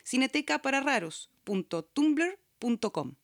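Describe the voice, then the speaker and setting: A woman's voice: sits high, very animated, a little slow, dry recording, clean studio sound. A Chilean woman reading scripted announcements as a podcast host. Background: background music.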